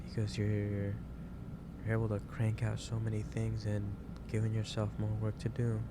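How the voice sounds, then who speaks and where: A man playing a gentle, caring office boss in a soft-spoken ASMR roleplay, talking in a halting, reassuring way. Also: fairly low pitch, monotone, natural voice. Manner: halting, reassuring, unhurried delivery. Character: gentle, caring male office boss lecturing an overworked employee. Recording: clearly noisy background ambience.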